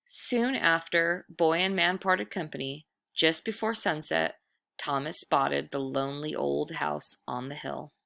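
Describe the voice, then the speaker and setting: A woman reading a children's story aloud with expressive, dramatic narration, stretching words for effect. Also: low-pitched, a little slow, gravelly.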